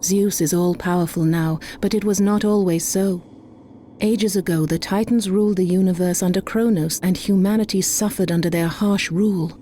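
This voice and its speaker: A woman playing a wise, stately goddess mentor in a video game cutscene, with a dark, mellow voice. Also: fairly low pitch, fairly even intonation, moderate pace, slight background noise, close to an everyday voice. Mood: solemn. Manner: stately, storytelling.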